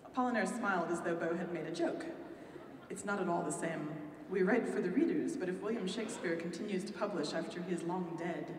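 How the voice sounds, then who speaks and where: A woman's voice: on the low side, brisk. A woman reading her own story at a live event, voicing the characters. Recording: echoey hall from far off, noisy.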